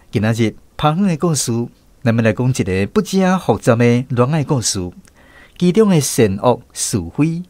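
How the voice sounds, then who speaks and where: A man's voice: medium pitch, dry recording. A man with a Taiwanese accent hosting a radio programme in scripted narration.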